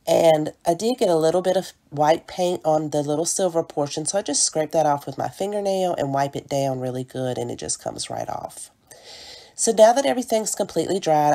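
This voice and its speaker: A woman with a stuffy nose from a cold narrates a friendly step-by-step craft tutorial. Her voice sits low and mellow, and she keeps calling things cute and little in a chatty, apologetic way. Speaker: DIY craft channel host.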